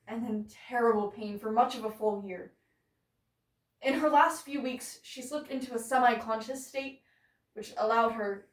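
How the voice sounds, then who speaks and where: A woman performing a stage monologue as a bitter, grieving, disillusioned woman, speaking deliberately with halting pauses in a roomy, distant recording. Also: a little high, natural voice. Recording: roomy echo, distant microphone.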